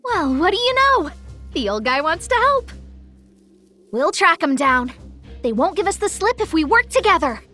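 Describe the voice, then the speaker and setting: Game heroine: small-sounding young deity, high voice, caring and assured, light music behind. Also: female voice, sing-song, normal speed, pauses often, bright, forceful tone, exaggerated voice. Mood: confident. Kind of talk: anime video game voice acting.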